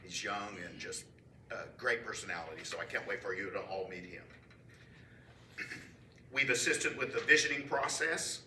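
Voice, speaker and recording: A man with a low, very bright voice reads a prepared committee statement at a church council meeting in an upbeat way. He pauses between phrases. The mic is far off in an echoey room with a faint hiss. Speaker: committee member giving a report.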